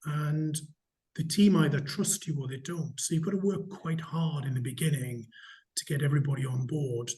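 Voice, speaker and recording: A middle-aged man from Newcastle with a slight Geordie accent, answering interview questions in a measured, candid way with frequent restarts. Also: a little high, warm tone. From Newcastle, England. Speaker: hotel company chief executive, interviewee.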